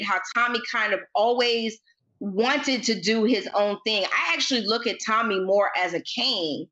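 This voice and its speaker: A woman's voice: medium pitch, sharp tone. American woman co-host, slightly muffled sound. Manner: emphatic, opinionated. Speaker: podcast co-host. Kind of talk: casual, opinionated TV recap chat.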